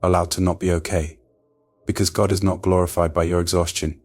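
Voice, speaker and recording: Male devotional narrator with a very low voice, soft and soothing, for a scripted sleep prayer. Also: little pitch movement, a little fast, soft background music. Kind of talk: scripted bedtime prayer meditation.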